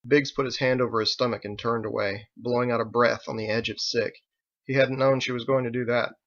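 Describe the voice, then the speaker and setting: A man's voice: mid-range voice, steady tone, brisk, a bit bright, lo-fi sound. A man reading scripted audiobook narration as the story's narrator. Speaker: author narrating his own story.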